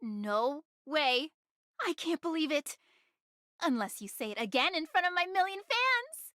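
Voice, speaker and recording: A woman's voice: high-pitched, very animated, unhurried, bright, forceful tone, no echo, clean studio sound, exaggerated voice. A woman voicing a bossy, sly young woman in an anime game, commanding and teasing in manner. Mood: suspicious, bossy.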